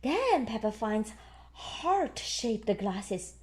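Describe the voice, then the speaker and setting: Slow, very animated woman reading picture books. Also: a little high, breathy edge. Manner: expressive, deliberate delivery for toddlers. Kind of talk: children's read-aloud narration with ad-libbed asides.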